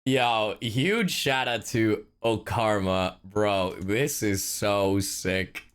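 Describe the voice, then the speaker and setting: A man live streaming a game, chatting casually in a slangy, sweary, expressive and excitable way. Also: on the low side, very slow, smooth. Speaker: livestreamer.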